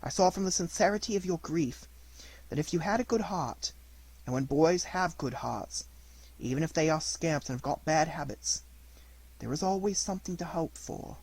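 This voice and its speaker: A reader voicing a calm, motherly fairy who speaks softly and admonishes gently in a storybook reading. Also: mid-range voice, measured pace, breathy edge, full character voice, low-quality recording. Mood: gentle. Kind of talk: audiobook character reading.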